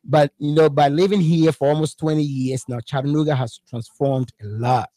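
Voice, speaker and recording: A man's voice: a little high, steady tone, normal speed, warm tone, no room sound. A man from Ghana with a Ghanaian accent, a musician talking casually as a guest on a radio show, who often repeats words as he starts a thought. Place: radio studio.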